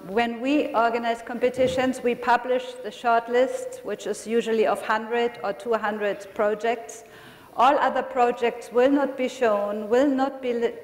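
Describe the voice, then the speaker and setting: Woman, native German speaker, lecturer, higher voice, halting phrasing, long hall reverb. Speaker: lecturer, design magazine founder. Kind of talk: conference lecture.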